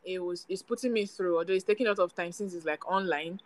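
A woman's voice: fairly low pitch, fast talker, dry recording. A young woman from Nigeria co-hosting a podcast with a sweet, soothing voice, chatty and rambling with many fillers. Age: young adult. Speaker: podcast co-host. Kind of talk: casual chat.

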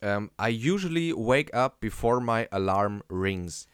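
A young man co-hosting a casual podcast chat in German, halting and restarting often and laughing easily. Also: on the low side, expressive, clear, resonant tone, no room sound, clean studio sound. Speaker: podcast co-host.

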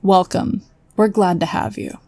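An actress playing a loyal, protective guardian in a sci-fi audio drama, frank and teasing, like a close friend. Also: female voice, mid-range voice, fairly even intonation, measured pace, warm tone, no room sound, natural voice. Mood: welcoming.

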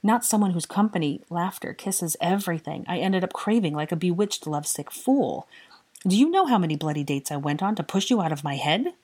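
Audiobook role: woman as exasperated, lovesick young man, husky, impassioned, sardonic, stumbling over his declarations. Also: fairly low pitch, expressive, a little fast, a little mellow. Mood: outraged, lovesick. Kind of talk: dramatic audiobook character dialogue.

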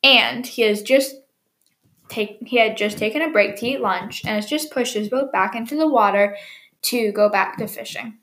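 Fairly high-pitched woman reading a children's story. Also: normal speed, fairly bright tone.

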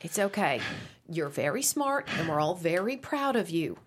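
A woman's voice: on the low side, sing-song, moderate pace. An actress at a table read playing a sing-song, meddling mother. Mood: coaxing. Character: chirpy meddling Midwestern mom.

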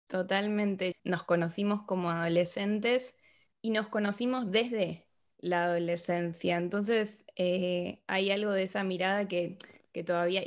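A woman, hosting a podcast and talking in a reflective, thoughtful way. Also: lowish voice. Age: 30s. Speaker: podcast host. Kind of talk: casual interview chat.

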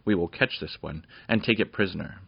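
Man doing stern fairy lord, low and firm, dry recording. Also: a little fast, creaky, husky, dry recording, dull audio, heavily acted. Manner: stern, declaring.

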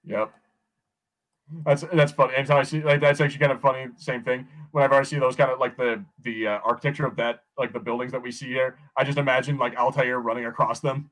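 A man's voice: medium pitch, fairly even intonation, fast, fairly bright tone, low-quality recording. A man co-hosting a podcast in casual pop culture banter, with a sweary, sardonic way of talking. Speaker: podcast co-host.

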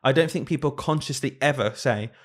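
A man's voice: mid-range voice, brisk. A man, a primary school teacher co-hosting a casual podcast, talking earnestly and thinking aloud.

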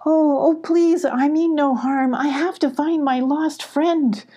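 A woman's voice: mid-range voice, big pitch swings, unhurried, mellow, husky. A woman voicing an anxious, plucky little girl kitten who pleads earnestly in a children's storybook. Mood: frightened pleading. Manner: pleading, faltering when scared, talks to herself.

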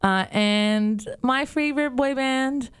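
A woman's voice: high-pitched, takes it slowly, smooth. A woman in her thirties from Canada, co-hosting a podcast in casual chat, asking incredulous questions with uh fillers. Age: 30s. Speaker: podcast co-host.

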